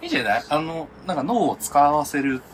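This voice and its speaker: A man's voice: fairly high pitch, sing-song, brisk, a little hiss or hum. A man co-hosting a casual podcast chat, agreeably chiming in with his friends. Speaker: podcast co-host.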